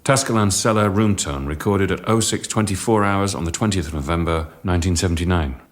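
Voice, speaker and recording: An actor playing a weary, fed-up sound engineer in a radio drama, with a blunt, dry delivery. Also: male voice, low voice, a little fast, slight background noise, lightly acted. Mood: flat, fed up.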